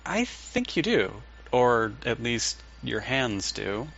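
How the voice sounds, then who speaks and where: A man's voice: medium pitch, big pitch swings, takes it slowly, vocal fry, exaggerated voice. A man acting as a blunt, sardonic therapist with a dry, probing way of talking in an audio drama. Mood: reflective. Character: blunt, sardonic, unorthodox therapist man, older than he looks. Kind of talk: audio drama dialogue and dictated case notes. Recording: muffled with loud background noise.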